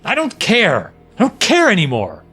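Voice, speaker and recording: A man's voice: sits high, huge pitch swings, moderate pace, bright, forceful tone, husky, soft background music, exaggerated voice. An actor playing a weary, frightened husband and father in an audio drama, pleading and defensive. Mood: panicked, pleading.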